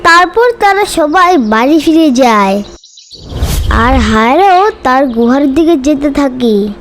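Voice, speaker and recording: A woman's voice: high-pitched, expressive, takes it slowly, slight background noise. A woman narrating a scripted children's cartoon story. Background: music.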